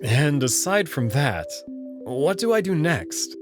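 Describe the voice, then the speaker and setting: A man playing an anxious, wry young human man in a visual novel, with an expressive delivery. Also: on the high side, takes it slowly. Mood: worried. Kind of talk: visual novel narration and character acting. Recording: music behind the voice.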